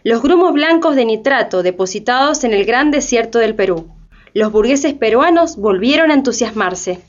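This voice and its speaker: Woman narrator, scripted radio drama narration, fairly high pitch, slightly muffled recording. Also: expressive, normal speed.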